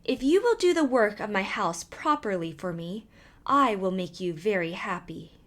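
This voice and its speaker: A woman voicing a kindly old woman from a fairy tale, speaking in a measured, instructing manner. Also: mid-range voice, expressive, a bit bright, lightly acted.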